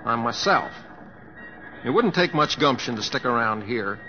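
An actor playing an easygoing, restless young cowboy in an old radio drama. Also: male voice, medium pitch, lively intonation, moderate pace, sharp tone, soft background music. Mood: easygoing, resigned. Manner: drawling, plain-spoken, folksy. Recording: dull old radio recording.

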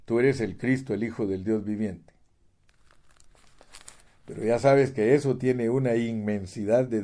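A man preaching with a dark, mellow voice, stressing his points by repeating words. He keeps turning to the listener as brother, rising in force at key lines. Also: lowish voice. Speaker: pastor. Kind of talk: sermon. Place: United States.

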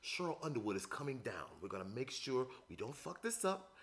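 A man imitating his stern male nightclub owner, who speaks with a Jamaican accent in a low, hushed, urgent voice. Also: big pitch swings, a little fast, a crowd in the background.

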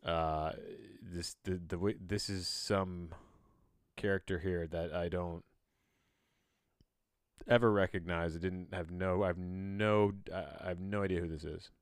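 A man hosting a solo podcast, chatting in a rambling, halting way, often backtracking mid-sentence with a bemused, self-deprecating manner. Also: deep voice, steady tone, clear, resonant tone, no echo, clean studio sound. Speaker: podcast host.

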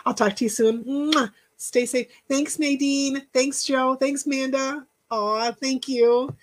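A woman hosting a casual live chat, rambling and encouraging, thanking viewers by name, with a stuffy nose and a voice that is going hoarse. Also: sits high, fairly even intonation, slow pace. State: losing her voice. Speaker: podcast host.

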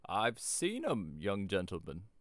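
A man voicing an old rustic shepherd in a storybook, speaking in country dialect with slow, emphatic, grave warnings. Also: on the low side, huge pitch swings, no echo, exaggerated voice. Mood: grave, insistent. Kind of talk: storybook character voice.

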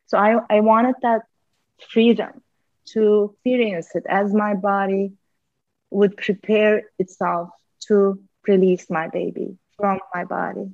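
A woman's voice: medium pitch, fairly even intonation, takes it slowly, creaky, no echo, lo-fi sound. A woman, a linguist and podcast guest, speaking earnestly in a candid conversation and repeating words for emphasis. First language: Turkish.

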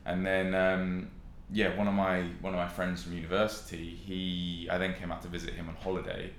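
A man's voice: low-pitched, completely flat delivery, brisk. A young adult man with a southern English accent, chatting casually as a podcast guest. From West Sussex, England. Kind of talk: casual chat, telling own experience. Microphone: podcast microphone. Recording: roomy echo with faint noise.

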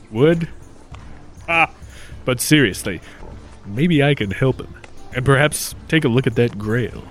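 A man's voice: mid-range voice, a bit bright. A man playing a brash, cocky but polite adventurer hero in a comic radio play. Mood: curious. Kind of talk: 1940s-style radio play acting.

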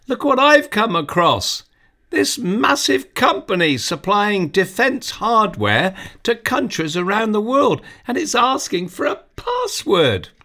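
A curious nine-year-old boy from a children's audio story, as a male narrator puts him on. He talks in a raised, eager voice with lots of rise and fall. His lines come out slow and unhurried. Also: high voice, big pitch swings, bright, forceful tone, pitched above the performer's own voice, full character voice. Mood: excited. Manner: eager, lifted boyish voice.

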